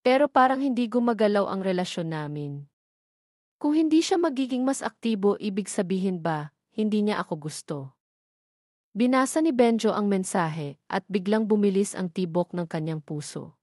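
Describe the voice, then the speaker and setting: Female storyteller, mid-pitched voice, dry studio-like sound, scripted narration. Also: lively intonation, normal speed, darkish tone, dry recording. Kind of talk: scripted story narration, reading dialogue herself.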